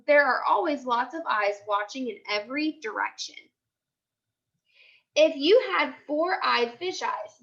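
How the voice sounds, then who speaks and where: A woman's voice: high voice, a bit bright, dull audio. An American woman teacher reading a picture book aloud to children, explaining simply and repeating key points. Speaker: elementary school teacher.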